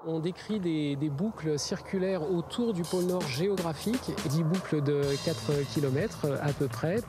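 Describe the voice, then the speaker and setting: A man runner speaks haltingly, with fillers. His voice is somewhat high, and music plays clearly behind him. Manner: hesitant, with fillers. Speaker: marathon runner. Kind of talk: interview clip.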